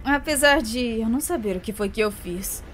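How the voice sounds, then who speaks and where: A young Brazilian woman voicing a brash, playful, warm-hearted cartoon adventurer in a casual, joking, hesitant way for a fan dub, over music. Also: a little high, expressive, normal speed, a little hiss or hum, lightly acted. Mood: awkward, playful. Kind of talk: animated series fan dub.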